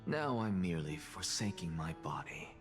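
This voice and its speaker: An actor playing a detached, ambitious male scholar in a video game, speaking calmly and loftily over music. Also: low-pitched, normal speed. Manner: calm, detached, lofty. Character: cold ambitious male scholar craving godhood. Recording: game audio under music.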